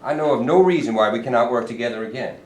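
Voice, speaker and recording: A man's voice: mid-range voice, fast talker, darkish tone, close to an everyday voice. A man reading a sly, genial governor. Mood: evasive. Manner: evasive, patronizing, mock-genial. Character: sly smooth-talking male state governor. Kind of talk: staged play reading. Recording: echoey room, mic at a distance, faint hiss.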